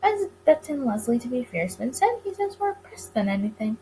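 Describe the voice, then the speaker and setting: A woman's voice: on the high side, sing-song, brisk, warm tone, a little hiss or hum. A woman reading a visual novel aloud, narrating and stumbling over the odd word before rereading it. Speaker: let's-play reader of a visual novel.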